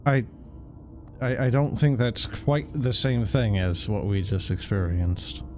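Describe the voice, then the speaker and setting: A man's voice: on the low side, lively intonation, unhurried, a little mellow, faint music behind. A man streaming a game, casually commenting and reading text aloud.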